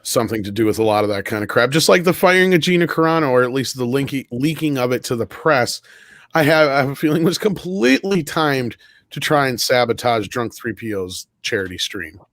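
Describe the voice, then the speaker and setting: A man's voice: medium pitch, lively intonation, a little fast, fairly bright tone, no echo. A man giving casual, opinionated commentary as a podcast panelist.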